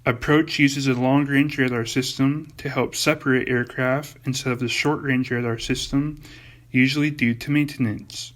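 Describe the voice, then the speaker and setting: A man's voice: mid-range voice, fairly even intonation, normal speed, a bit bright, close to an everyday voice. A young man playing an air traffic controller who gives scripted technical explanations in an amateur comedy skit. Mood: explanatory. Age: young adult.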